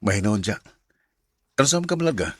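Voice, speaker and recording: A man's voice: fairly low pitch, sing-song, fast talker, slightly rough, dry recording, studio quality. An actor with a Visayan Filipino accent playing a married woman's suitor in a radio drama. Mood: attentive.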